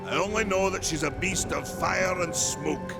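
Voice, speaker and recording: A man playing a proud, stern old warrior in a fantasy video game, gravelly, with slow, weighty, archaic speech. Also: high voice, over loud music, natural voice. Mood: grave, brooding. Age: older. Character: proud old male warrior maker, stern, battle-worn. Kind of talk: video game cutscene acting.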